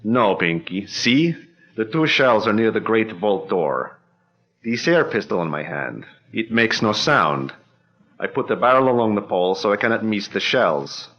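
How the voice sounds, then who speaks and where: An actor playing a cold, scheming bank-robber boss who gives clipped orders in a 1940s-style radio drama. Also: male voice, fairly low pitch, sing-song, measured pace, a bit bright, muffled recording, heavily acted. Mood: menacing. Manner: curt, commanding, clipped orders.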